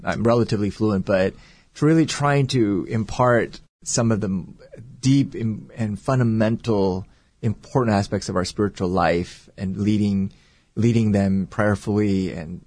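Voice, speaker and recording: A man who is a priest, talking earnestly and reflectively in an interview and sometimes pausing to search for words. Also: fairly low pitch, darkish tone, dry recording, studio quality. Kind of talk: casual interview talk.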